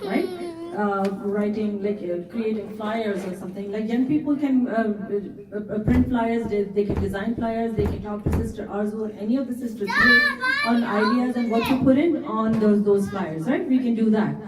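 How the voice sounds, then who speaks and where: A woman's voice: medium pitch, animated, moderate pace, background noise. A middle-aged woman whose first language is Urdu, giving an earnest talk to a women's gathering and often adding "right?". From Canada resident. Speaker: community activist speaker. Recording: echoey hall, voice from far off.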